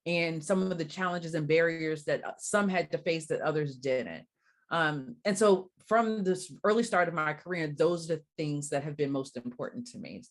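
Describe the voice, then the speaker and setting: A woman from Barbados, a diversity coach, answering interview questions in a thoughtful, measured way with frequent pauses. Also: low voice.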